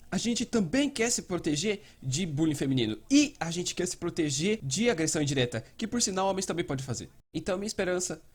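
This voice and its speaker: A man acting as a Brazilian mental health educator with a slightly high voice. He explains earnestly and conversationally, in an animated manner, like a dubbed educational video. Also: husky. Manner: animated, acting out example dialogue.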